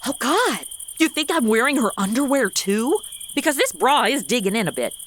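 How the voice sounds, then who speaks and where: A woman's voice: a little high, sing-song, normal speed, very noisy. An actress playing a sassy, exasperated modern young woman with a blunt, snarky manner in a comedy audio drama. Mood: startled, incredulous.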